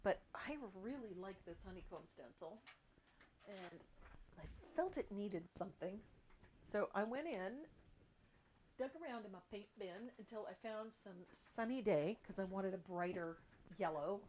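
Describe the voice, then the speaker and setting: A woman's voice: medium pitch, animated, unhurried, darkish tone, slight background noise. A middle-aged woman giving a casual, chatty live craft tutorial in an informal way. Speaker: craft painting instructor and livestream host. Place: indoor craft table.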